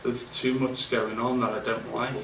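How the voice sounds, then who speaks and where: A man talks to a roomful of people in a candid, self-deprecating way, stopping and restarting often. He sits at a middling pitch, and he is picked up from across a roomy space with a faint hum of ambience. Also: level tone, moderate pace, vocal fry, far from the mic. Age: 40s. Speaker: guest speaker. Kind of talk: informal business speech. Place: large event room with an audience.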